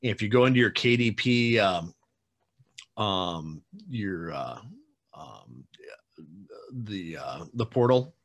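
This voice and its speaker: A man in his forties with a lowish voice hosts a relaxed interview over a webcam call from his home room. He talks in a chatty, rambling way, full of fillers and light teasing. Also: slow, long pauses. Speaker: podcast host. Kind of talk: casual video-call interview. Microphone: webcam video call.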